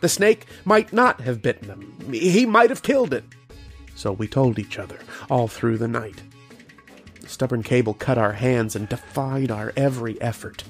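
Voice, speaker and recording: A man playing a grave, haunted archaeologist in old-time radio horror, with dramatic, deliberate delivery. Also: medium pitch, animated, faint music behind, lightly acted. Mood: grim narration.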